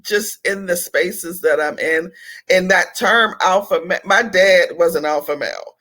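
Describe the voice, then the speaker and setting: Female interview host, bright and affirming, chatty and personal. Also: lowish voice, a little slow. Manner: warm, affirming, frequent "yes" and "absolutely". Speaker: podcast host. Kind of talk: casual interview talk.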